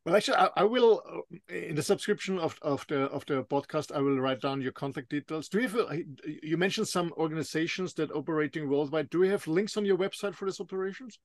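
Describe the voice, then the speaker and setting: A man with a German accent, a native German speaker, hosting a business podcast in English, halting and repeating words as he wraps up. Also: on the high side, dry recording, clean studio sound. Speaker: podcast host. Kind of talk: interview and sign-off.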